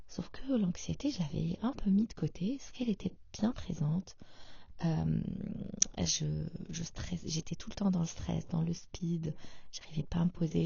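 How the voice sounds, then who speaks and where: A woman hosting a podcast, talking in a soft, half-whispered, hesitant way with lots of fillers, in an intimate personal monologue. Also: sits low, creaky, husky, no echo, highs cut off. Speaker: podcast host.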